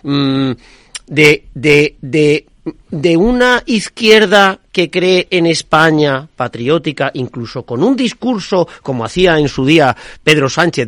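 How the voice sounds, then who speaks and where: A man's voice: a little high, a bit bright, no echo, studio quality. A man on a political debate panel, talking with open sarcasm and mocking asides. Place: studio debate table.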